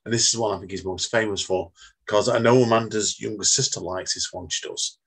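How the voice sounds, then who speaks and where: A man's voice: lowish voice, fairly even intonation, a bit bright, slightly rough. A man hosting a casual podcast chat, joking and laughing as he moves things along. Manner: chatty, laughs often, quick asides. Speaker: podcast host.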